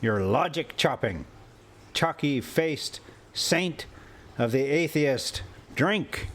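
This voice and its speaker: A reckless drunken man from a storybook voiced by a male reader, bitter and defiant. He taunts loudly, his pitch pushed above an everyday talking voice, with slow, drawn-out delivery. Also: animated, heavily acted. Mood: scornful, angry. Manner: loud, taunting exclamations. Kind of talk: storybook character voice in a read-aloud.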